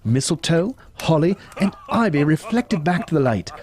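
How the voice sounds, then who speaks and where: Animated, higher-voiced male narrator. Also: normal speed. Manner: dramatic, expressive. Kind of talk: scripted storybook narration for audio drama.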